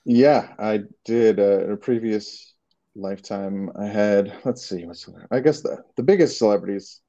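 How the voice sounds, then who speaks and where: A man's voice: fairly low pitch, moderate pace, mellow, highs cut off. A man from Canada with a Canadian accent, answering interview questions casually and hesitantly, with many restarts. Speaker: creative director, guest.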